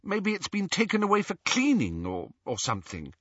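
Man voicing an earnest, high-pitched teenage girl. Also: wildly swooping pitch, normal speed, a bit bright, breathy edge, no echo, muffled recording, exaggerated voice. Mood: polite, pleading. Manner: polite but insistent. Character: earnest, pleading teenage girl, quick to defend friends.

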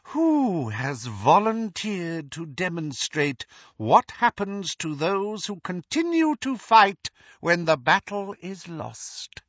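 Cruel male dark lord as a man puts him on, soft snake-like hiss, raised pitch, slow drawn-out words, dull lo-fi sound. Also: very animated, dry recording, full character voice. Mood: silky menace. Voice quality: silky, hissing.

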